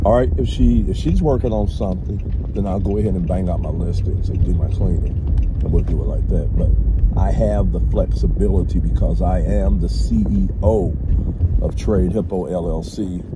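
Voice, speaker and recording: Middle-aged man, very deep voice, earnest coaching talk, dashboard camera, loud road noise. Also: lo-fi sound. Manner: earnest, coaching tone with frequent "right?" and "all right". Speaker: vlogger and small business owner. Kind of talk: casual motivational vlog talk. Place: inside a moving car. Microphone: camera mounted on dashboard. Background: car and road noise.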